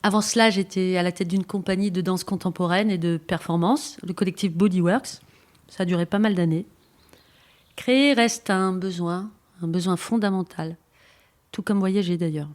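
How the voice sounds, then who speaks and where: A woman co-hosting a bicycle radio project, presenting it in a lively, list-like scripted delivery. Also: fairly low pitch, normal speed.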